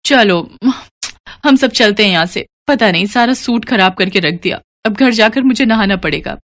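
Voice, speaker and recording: A woman's voice: medium pitch, expressive, moderate pace, a bit bright, natural voice. A woman voicing an indignant, snobbish young guest in a cartoon story, huffy and complaining.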